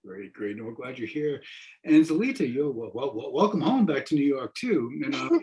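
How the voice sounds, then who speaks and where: A man's voice: mid-range voice, lively intonation, moderate pace, a little mellow, husky. A middle-aged man hosting a discussion, encouraging and often stumbling over his words. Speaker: host, theater professor. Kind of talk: moderating a discussion. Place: wood-panelled room at home, indoors. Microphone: video call.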